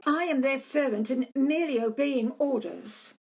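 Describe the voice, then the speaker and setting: A woman playing a stiff company messenger bearing bad news, formal and restrained, with a husky voice in an amateur radio play. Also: high voice, moderate pace, a little mellow. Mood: formal, uneasy.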